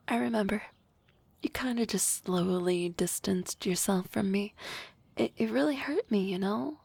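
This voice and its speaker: Teasing young harpy classmate, voiced by a woman, slow husky whispers, gravelly edge, dry close mic. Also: medium pitch, no echo. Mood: hurt. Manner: soft, often whispered, teasing. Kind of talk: ASMR roleplay.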